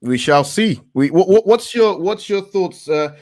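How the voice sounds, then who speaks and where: Halting casual male stream host. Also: high-pitched, animated, darkish tone, no room sound, studio quality. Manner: halting, repeats words, many fillers. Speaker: livestream host. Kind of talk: casual investor livestream chat.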